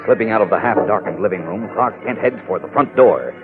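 A man's voice: fairly low pitch, brisk, heavy background noise. A man narrating and announcing a 1940s radio serial in a dramatic, declamatory style. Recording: muffled old radio recording with music.

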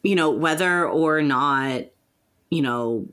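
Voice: medium pitch, takes it slowly, a bit bright. A podcast host talking in a casual conversational discussion, with informal, tentative phrasing.

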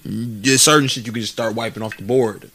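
A man in his late twenties speaks in a relaxed, slangy way, swearing freely and circling back over his words as he works through a point. His voice sits at an ordinary pitch for a man. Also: a little fast, a little hiss or hum. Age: 20s. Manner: slangy, profane, repeats himself while thinking. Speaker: podcast co-host, aspiring rapper. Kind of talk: casual chat.

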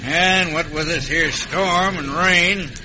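A noisy vintage radio recording of a greedy villain. His drawling voice sits high for a man, and he blusters in rough, folksy grammar with a short temper. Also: fairly even intonation, slow pace. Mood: smug. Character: greedy, hot-tempered western outlaw boatman. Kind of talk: 1950s western radio drama acting.

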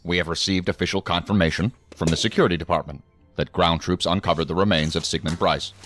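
An actor playing a stern, commanding federal agency superior in a science fiction audio drama, speaking in a formal, clipped, authoritative way. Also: male voice, sits low, steady tone, fast talker, a bit bright, soft background music. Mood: stern, official.

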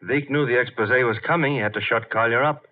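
An actor playing a tough, brisk, slangy detective's assistant in a 1950 radio drama. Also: male voice, medium pitch, close to an everyday voice. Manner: slangy, wisecracking, animated. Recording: muffled old 1950 radio broadcast.

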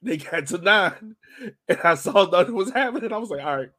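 A man's voice: high-pitched, expressive, a little fast, sharp tone. A man hosting a soccer fan podcast in casual chat, informal and slangy.

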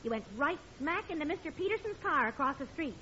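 A woman playing an exasperated, sharp-witted American wife and mother in a 1950s radio sitcom, dry and reproving. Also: high-pitched, animated, fast talker. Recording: dull old radio recording, faint noise.

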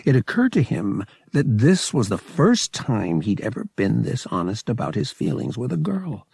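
A man's voice: fairly low pitch, huge pitch swings, normal speed, creaky, a little mellow, slightly rough, no echo. A man narrating fiction as a scripted, dramatic audiobook reading.

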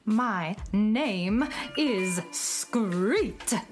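A woman's voice: medium pitch, animated, drawn-out words, faint music behind. A woman voicing a vain, self-pitying tiny alien bee with a plaintive, melodramatic manner in a children's radio play. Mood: mournful, self-pitying.

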